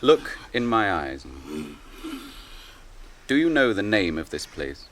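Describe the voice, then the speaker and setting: A stern, commanding captain. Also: male voice, on the low side, sing-song, a bit bright, a little hiss or hum, full character voice. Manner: grave, measured and authoritative. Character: stern noble male captain of men, grave and commanding. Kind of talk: radio drama acting.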